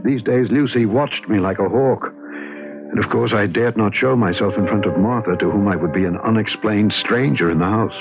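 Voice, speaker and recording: Haunted, earnest husband, played by a male actor, lowish voice, dramatic vintage radio acting, tinny sound over loud music. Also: natural voice. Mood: grave, suspenseful. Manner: measured, dramatic, halting when addressed. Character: haunted, earnest husband recounting his eerie ordeal. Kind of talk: old radio drama, first-person narration and dialogue.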